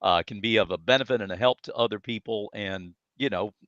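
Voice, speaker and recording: A man's voice: fairly low pitch, fairly quick, a bit bright, no room sound. An American man chatting as a podcast guest, telling stories in an enthusiastic, effusive and polite way. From United States. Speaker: podcast guest, data speaker. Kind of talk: casual conversational storytelling. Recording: dry, slightly dull audio.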